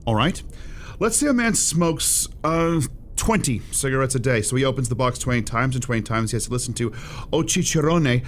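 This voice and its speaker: A man's voice: medium pitch, animated, a little fast, slight background noise, natural voice. A man playing an earnest, lovestruck young shop clerk in a radio play, eager and frank, with hesitant stammers. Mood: frank, stubborn.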